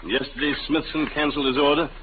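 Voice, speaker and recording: A man's voice: a little high, fairly even intonation, fast, phone-quality sound, lightly acted. An actor playing a flustered, defensive middle-aged husband and salesman in 1950s radio style, blustering and exasperated. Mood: fretful, defensive. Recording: thin, noisy 1950 radio recording.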